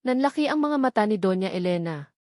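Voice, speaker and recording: A woman's voice: mid-range voice, expressive, brisk, darkish tone, no room sound, studio quality. A woman reading scripted narration of a dramatic love story.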